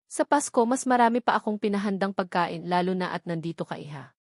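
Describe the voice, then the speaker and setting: A woman's voice: on the low side, animated, fairly quick, dry recording, studio quality. A woman voicing a cheerful old grandfather in a novel, affectionate and hospitable. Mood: delighted.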